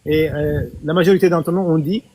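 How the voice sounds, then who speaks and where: A man's voice: a little high, fairly even intonation, brisk, dark tone, dry recording. A man who leads a party from Mauritania, giving thoughtful answers in an interview and often restarting his phrases. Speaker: political party leader, interview guest.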